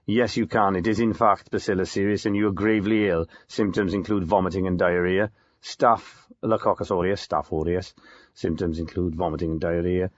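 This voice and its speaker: A man playing a pedantic government professor, lecturing precisely and deadpan as a guest on a spoof radio interview. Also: low voice, fairly quick, dry recording, close to an everyday voice. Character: pedantic, dry male government food poisoning professor. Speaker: expert guest.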